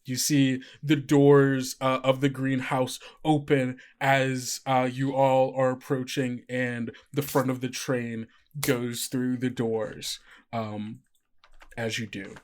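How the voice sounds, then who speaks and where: A man running a tabletop game as game master, narrating loosely with many fillers and restarts. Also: mid-range voice.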